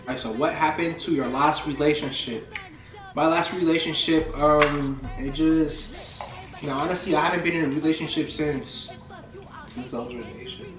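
A man's voice: on the high side, normal speed. A nineteen-year-old man hosting a casual eating and Q&A video from a living room couch, talking in a slangy, laid-back way. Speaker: YouTube host. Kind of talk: casual mukbang Q&A chat. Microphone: far camera. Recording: roomy room from far off with background music. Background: background music.

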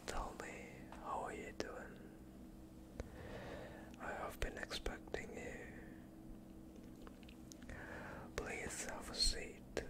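A young man playing a polite vampire doctor in an ASMR roleplay, soothing and evasive with a sinister undertone, speaking in a hushed voice close to the mic. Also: natural voice. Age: young adult. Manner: hushed, slow, courteous, evasive. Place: quiet indoor studio, dark backdrop. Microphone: close off-screen microphone.

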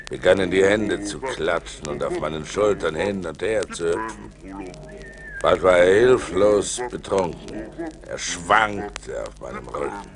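An actor playing an adventurous seafarer who recounts his ordeals theatrically in a German radio play, moving between hushed and forceful delivery. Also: sits low, heavily acted. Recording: noisy vinyl record transfer.

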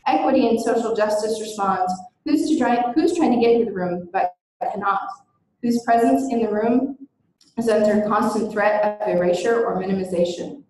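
A woman's voice: on the high side, a little mellow. A woman giving a recorded presentation as an educator and advocate, speaking in an earnest, emphatic, conversational way. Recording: very echoey room.

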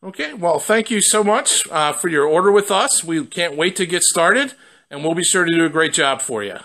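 A man's voice: fairly high pitch, animated, normal speed, sharp tone. A man working as a company representative, conducting a promotional testimonial interview from off camera in a basement.

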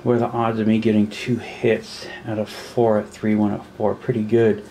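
A man's voice: lowish voice, level tone, normal speed, dark tone, slight background noise, low-quality recording. A man playing a war board game at a table, counting pieces aloud and puzzling over the rules as he goes. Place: indoors at a table.

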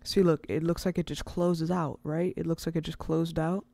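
A casual, rambling speaker hosting an informal step-by-step software tutorial, recorded close to the mic as a voice-over. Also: mid-range voice, flat delivery, normal speed, gravelly, no room sound. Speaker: tutorial host.

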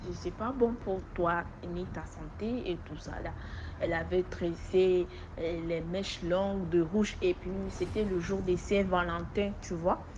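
A woman from Togo with a West African accent, speaking French as an additional language and giving an informal testimony in an animated storytelling way. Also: on the low side, unhurried, highs cut off, background noise. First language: not French. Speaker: testimony giver. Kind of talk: informal spoken personal testimony.

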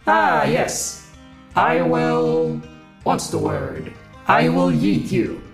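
A man playing a pompous, distracted deity in a comedic audio drama, speaking grandly and haltingly. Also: medium pitch, wildly swooping pitch, creaky, full character voice. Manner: grandiose, slow and deliberate, halting. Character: pompous, distracted male deity issuing decrees. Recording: echoing, music underneath.

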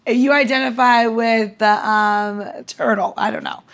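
A woman's voice: fairly high pitch, steady tone, measured pace, vocal fry. A woman co-hosting a podcast in casual, candid chat, rambling and swearing freely as she goes. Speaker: podcast co-host.

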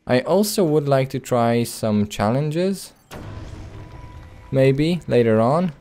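A man's voice: medium pitch, expressive, slow pace, mellow, soft background music. A man doing casual gameplay commentary with a hesitant, rambling, playful delivery. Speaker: let's play host.

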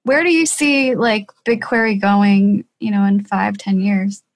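A woman's voice: medium pitch, fairly even intonation, a little slow. A woman interviewer talking casually, with loose, hesitant phrasing. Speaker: podcast interviewer, economist.

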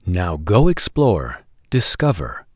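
A man in his forties hosting a children's storytime, reading aloud in an expressive, deliberate, sing-song way. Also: low voice, no room sound, studio quality. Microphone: lapel microphone.

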